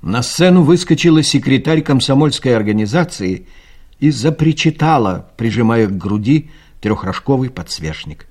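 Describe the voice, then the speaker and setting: A man's voice: medium pitch, expressive, moderate pace, a little mellow. A male narrator telling a satirical story in a dry, ironic manner, as in a scripted audio play.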